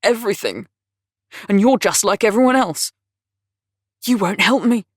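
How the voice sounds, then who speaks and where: A woman voicing a volatile, self-pitying man who rants and complains loudly. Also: fairly low pitch, animated, normal speed, dry recording, clean studio sound, lightly acted. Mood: angry ranting. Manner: ranting, raised and strained.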